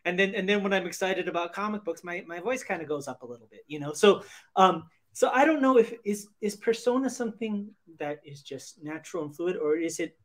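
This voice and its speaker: Fast-talking, higher-voiced man. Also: a bit bright. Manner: halting, repeats words. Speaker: co-host, interviewer. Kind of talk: casual enthusiastic chat. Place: home room indoors, video call. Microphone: video call mic.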